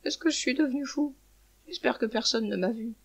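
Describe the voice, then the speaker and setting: A woman's voice: high-pitched, brisk, creaky. A woman voicing a proud but tender eagle in a children's story, earnest and self-questioning. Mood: bewildered, proud. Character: proud eagle, king of birds, turned tender. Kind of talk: children's storybook character reading.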